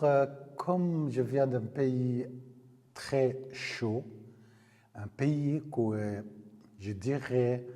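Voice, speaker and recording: An older man speaks slowly in a dark, husky voice. He pauses often to find his words. The reverberant room gives his voice a long tail. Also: mid-range voice. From Palestine. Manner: slow, searching for words with frequent pauses. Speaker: interviewee. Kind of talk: personal life story.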